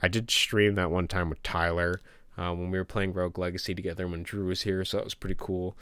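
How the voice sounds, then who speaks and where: Low gravelly dude on a dev stream, kinda sniffly, dry close mic. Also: male voice, fairly quick, dry recording. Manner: relaxed, rambling, reads chat aloud and answers. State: sniffling from a cold. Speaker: game developer streamer. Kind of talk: casual livestream chat. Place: home office.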